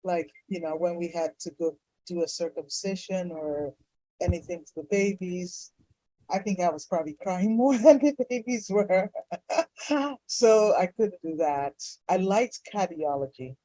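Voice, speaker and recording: Mellow, enthusiastic woman telling her story. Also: lowish voice, animated, a little slow, no echo, low-quality recording. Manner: enthusiastic, effusive. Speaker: fertility doctor, interview guest. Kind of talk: casual personal storytelling in an interview.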